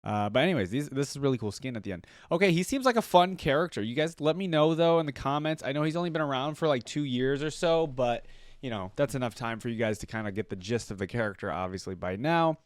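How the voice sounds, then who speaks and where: Guy doing casual reaction commentary, thinking out loud, medium pitch, dry room sound. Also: lively intonation, fast, no room sound. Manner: rambling, thinking aloud. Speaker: reaction video host.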